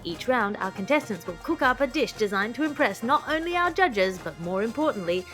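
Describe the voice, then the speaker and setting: A woman plays an over-excited reality TV cooking show announcer, theatrical and breathless. The pitch rides high and stretches out key words over a bed of music, like a parody trailer. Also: a little fast. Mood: hyped, dramatic. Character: gushing, hyped-up reality cooking show narrator.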